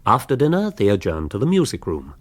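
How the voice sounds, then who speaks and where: The narrator of a radio social comedy. His voice is fairly deep, and he tells the tale with urbane, wry wit and a very varied melody. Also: wildly swooping pitch, a little fast. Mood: wry, amused. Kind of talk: scripted radio drama narration.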